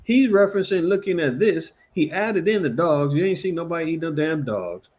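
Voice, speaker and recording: A man speaks in a somewhat high voice. He rambles through casual reaction commentary, animated and emphatic, with lots of repeats and fillers. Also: normal speed. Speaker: commentary show host.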